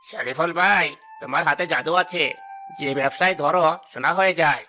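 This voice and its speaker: A man's voice: on the high side, normal speed, bright, forceful tone, faint music behind. A man playing a greedy, scheming village trader in a cartoon story. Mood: flattering, eager.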